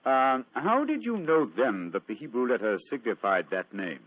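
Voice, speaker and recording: An actor playing a keen, courteous gentleman detective in an old-time radio drama, clipped and probing in manner. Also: mid-range voice, big pitch swings, moderate pace. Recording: dull, lo-fi old radio recording.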